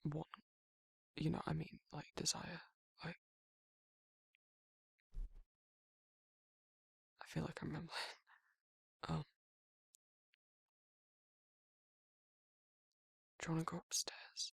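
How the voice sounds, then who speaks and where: An actor playing a shy, protective werewolf in an intimate romantic ASMR roleplay, with a breathy, husky, hesitant and stammering delivery. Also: medium pitch, monotone, normal speed, a bit bright, no echo, full character voice. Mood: tender, awkward. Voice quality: husky, breathy, gravelly, often whispering. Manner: hesitant, rambling, stammering, bashful. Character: shy, awkward, protective male werewolf, big and tender.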